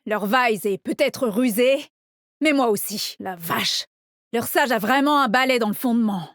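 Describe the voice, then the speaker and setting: A woman's voice: on the high side, a little slow, a bit bright, dry recording, clean studio sound. An actress playing a cocky, teasing female squad leader in a video game, with a confident, brash and punchy delivery. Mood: wry, confident. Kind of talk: video game character voice lines.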